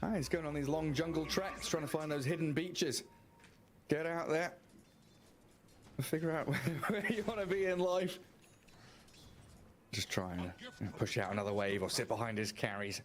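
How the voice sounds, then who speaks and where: A male esports caster with a slightly high voice calls a match live. He follows the action play by play and drops in wry, playful asides. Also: fairly even intonation, normal speed, pauses often. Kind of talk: live game commentary.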